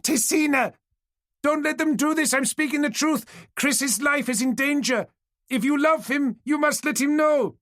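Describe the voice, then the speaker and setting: A man voicing a determined young woman who escaped captivity, speaking urgently and forcefully, often at a shout. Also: on the high side, a little fast, no echo, studio quality, voice pushed up, close to an everyday voice. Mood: shouting, desperate.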